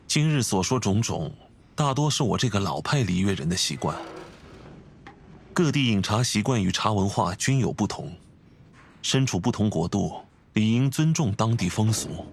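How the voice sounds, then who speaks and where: A man voicing a refined, composed, scholarly gentleman in a video game, speaking formally and courteously with measured phrasing. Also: on the low side, lively intonation, gravelly, slight background noise. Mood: reassuring, measured. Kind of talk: video game character acting.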